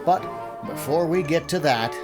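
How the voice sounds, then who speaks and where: A man's voice: on the high side, normal speed, music in the background. A man hosting a panel discussion, delivering a scripted introduction. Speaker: panel host.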